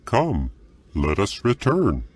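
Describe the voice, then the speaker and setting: An actor playing a concerned young Israelite son on a journey in a dramatized scripture recording, speaking in a measured way. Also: male voice, lowish voice, wildly swooping pitch, a little mellow, husky, heavily acted. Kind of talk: dramatized scripture audio acting.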